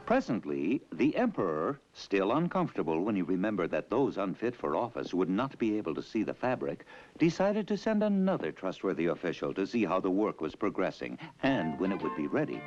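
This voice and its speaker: A man tells a fairy tale in a theatrical way. His voice is low and swings very widely in pitch. The recording is dull and old, with music playing behind him. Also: sing-song, fairly quick, darkish tone. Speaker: storyteller. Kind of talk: scripted fairy-tale narration.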